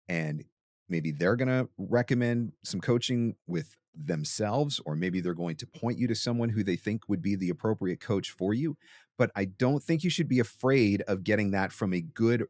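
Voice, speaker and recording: A man's voice: low voice, a little mellow, no room sound, clean studio sound. A man hosting a talk and giving conversational coaching advice, emphatic and repeating phrases for stress. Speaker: host and voiceover coach answering a question.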